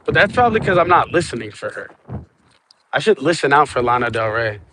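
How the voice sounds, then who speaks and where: A man's voice: mid-range voice, sing-song, a little fast, bright, forceful tone, a little hiss or hum, rough recording. A Cuban man livestreaming from a small boat out on open water, rambling and swearing while chatting with his viewers through his phone. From Cuba. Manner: profane, rambling, stoned banter with viewers.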